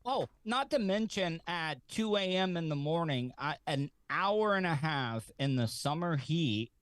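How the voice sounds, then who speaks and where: A man with a fairly high voice tells stories casually, as a radio personality would. He is insistent and stumbles over repeated words, recorded dry and close with no room sound. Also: animated, a little slow, fairly bright tone, no echo. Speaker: radio personality telling his own stunt stories. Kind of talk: casual conversational storytelling.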